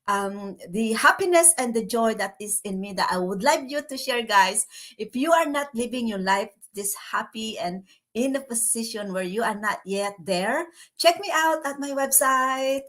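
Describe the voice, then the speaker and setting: Chatty Filipino woman, higher voice. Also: a bit bright. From Philippines. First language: Tagalog. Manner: chatty and cheerful. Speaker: vlogger and business mentor. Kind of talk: casual talk to viewers.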